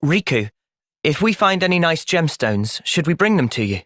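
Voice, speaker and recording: A young man playing an earnest, thoughtful young soldier hero in a fantasy video game's cutscenes, with expressive delivery. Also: fairly high pitch, normal speed, no echo, studio quality. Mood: calm, thoughtful. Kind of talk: video game cutscene acting.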